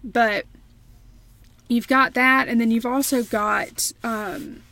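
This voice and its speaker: A woman's voice: mid-range voice, vocal fry, a bit bright. An American woman co-hosting a casual remote chat, chatty with frequent laughs and restarts. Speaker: podcast co-host.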